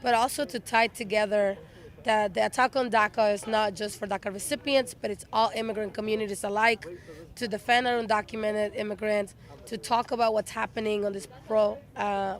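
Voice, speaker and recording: A woman's voice: medium pitch, steady tone, normal speed. A woman speaking earnestly as she answers a news interview. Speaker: walkout participant interviewed.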